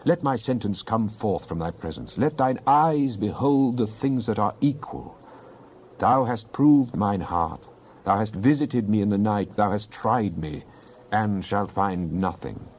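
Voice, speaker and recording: A man playing a devout psalmist in a dramatized Bible reading, praying in an earnest, deliberate, declamatory way. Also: on the low side, lively intonation, darkish tone, muffled recording, a little hiss or hum. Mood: pleading, prayerful. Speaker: scripture reader.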